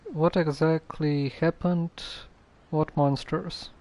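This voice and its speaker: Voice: medium pitch, steady tone, slow pace, mellow. A game streamer giving casual livestream commentary, sometimes hesitantly searching for words.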